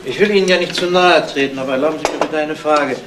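A man's voice: a little high, quick pace, noisy background, close to an everyday voice. An actor playing a police detective in a radio crime drama, matter-of-fact, politely probing with his questions. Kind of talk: 1970s German radio crime drama acting.

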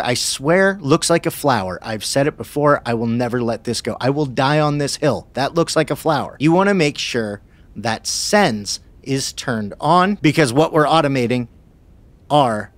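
A man in his thirties hosting a casual, conversational software tutorial, on a close broadcast mic in a small studio. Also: medium pitch, no room sound. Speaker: video tutorial host. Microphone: close broadcast mic on boom arm.

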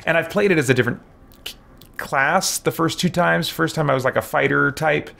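A man in his thirties giving casual livestream commentary as he plays a video game, chatting and thinking out loud in a rambling way. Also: a little high, fairly quick. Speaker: live streamer, game player. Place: small indoor room with shelves. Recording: clear game sound behind the voice. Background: video game ambience and effects.

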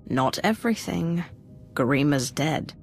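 Brief: hushed, gravelly villainess, lively pitch. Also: female voice, a little slow, faint music behind, full character voice. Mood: menacing. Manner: cold, threatening, hushed. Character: menacing female Sith inquisitor. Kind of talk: video game cutscene.

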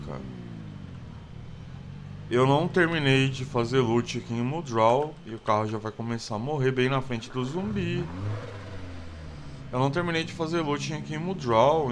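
A man's voice: medium pitch, noisy background. A man with a Brazilian Portuguese accent casually commenting on his survival game, rambling with many fillers. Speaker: gameplay video host. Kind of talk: casual let's play commentary.

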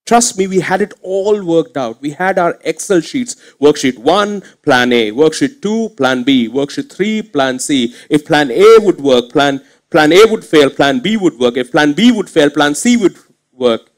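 A man in his thirties preaching a sermon on a church stage into a headset mic, earnest and swinging from quiet asides to emphatic points. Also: fairly high pitch, expressive, a little slow. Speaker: pastor. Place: church stage with curtain backdrop.

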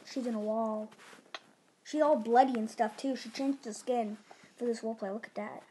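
A woman's voice: a little high, steady tone, normal speed, warm tone, a little hiss or hum, low-quality recording. A woman giving casual, playful, chatty commentary during a video game roleplay. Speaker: gaming video host.

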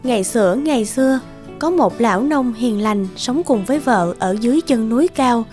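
A woman giving scripted storybook narration of a fairy tale in a slow, even way. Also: fairly high pitch, faint music behind.

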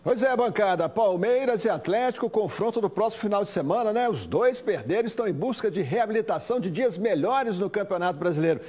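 A man's voice: high voice, expressive, a little fast, hardly any pauses, a little mellow. A Brazilian man hosting a sports show from a TV studio, presenting and chatting with the panel.

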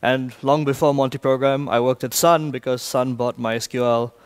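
A man from Malaysia giving a technical talk in a large conference hall, briskly quizzing the audience. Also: mid-range voice, steady tone, clean tone. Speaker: conference speaker.

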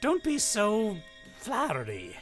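A woman's voice: medium pitch, takes it slowly, faint music behind. A woman playing a frightened, stammering old miser in a radio play. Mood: anxious pleading. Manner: stammering, pleading, excitable.

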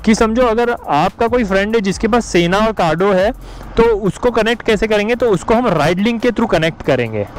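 A man's voice: high voice, low-quality recording. A man in his thirties with an Indian accent giving a vlog tutorial, explaining step by step with English terms mixed in. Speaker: motovlogger. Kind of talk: tutorial vlog to camera and voice-over. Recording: music behind the voice. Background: background music.

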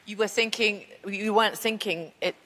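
A middle-aged woman judge giving halting, self-correcting live critique. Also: medium pitch. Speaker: skating competition judge. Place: large TV ice-show studio. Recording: noisy studio with loud crowd.

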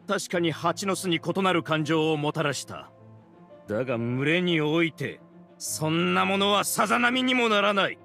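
An actor playing an arrogant mastermind villain in a video game, speaking with haughty, theatrical contempt. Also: male voice, high-pitched, gravelly, pushed and bright, heavily acted. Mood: furious triumph. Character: arrogant villainous male mastermind ruling a hive mind. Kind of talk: Japanese video game voice acting.

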